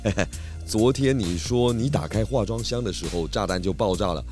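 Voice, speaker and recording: A performer playing a calm, shrewd, confident detective pig with measured delivery in a children's audio drama. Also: sits low, soft background music, natural voice.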